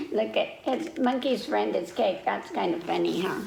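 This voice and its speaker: A woman hosting a children's story time, reading picture books aloud in an animated way with chatty asides and questions to her listeners. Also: mid-range voice, measured pace, vocal fry, distant mic. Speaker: children's library storytime host. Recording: echoey room, faint background noise.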